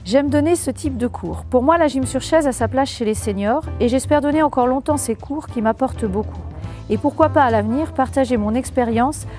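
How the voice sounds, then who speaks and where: A female fitness instructor explaining her job in a promotional careers video, voice on the high side, music playing behind her. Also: brisk, mellow. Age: 40s. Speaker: senior fitness instructor being interviewed. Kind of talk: prepared testimonial, explanatory.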